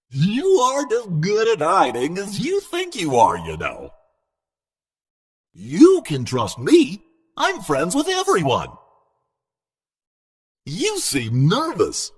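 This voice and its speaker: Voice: medium pitch, wildly swooping pitch, slow, pauses often, breathy edge, big-room sound, exaggerated voice. An actor voicing a cheerful, needy toy animatronic entertainer in a video game, theatrical and falsely friendly with menace underneath. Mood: playful menace.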